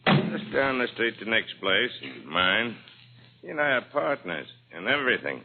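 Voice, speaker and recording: An actor playing a cold-blooded tough crook who gives clipped, commanding orders in underworld slang, in 1940s radio crime drama style. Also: male voice, mid-range voice, very animated, moderate pace. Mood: coolly threatening. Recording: muffled, noisy old radio recording.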